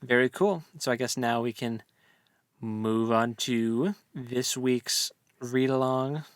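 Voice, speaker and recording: A woman's voice: very deep voice, takes it slowly, no room sound, studio quality. A woman co-hosting a book podcast in a casual, easygoing, informal chat. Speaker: podcast co-host.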